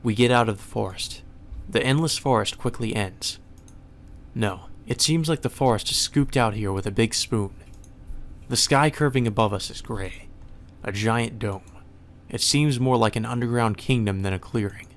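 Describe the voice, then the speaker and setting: A man's voice: medium pitch, moderate pace, slight background noise. A man hosting a let's play, reading a visual novel aloud as narration and dropping in informal, casual remarks of his own. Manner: informal, swears in asides. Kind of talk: narrated read-through with casual side comments. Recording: music playing behind the voice. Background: background music.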